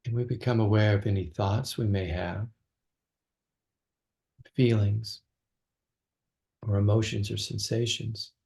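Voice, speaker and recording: Low, gravelly man guiding meditation. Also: steady tone. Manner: slow, gentle delivery with long pauses between phrases. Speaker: meditation teacher. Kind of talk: guided meditation.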